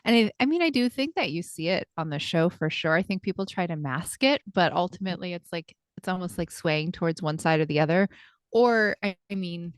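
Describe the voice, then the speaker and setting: A woman's voice: on the low side, animated, fairly quick, clean tone, no echo. A woman hosting a podcast, chatting in a thoughtful, agreeable way with plenty of casual fillers. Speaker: podcast host, life coach. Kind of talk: casual conversation.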